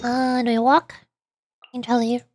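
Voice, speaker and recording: A woman's voice: a little high, level tone, a little slow, darkish tone, faint music behind. A woman streaming a game, chatting casually in a rambling way and repeating words as she goes. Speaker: VTuber streamer. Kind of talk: casual game stream chat and live reading of dialogue.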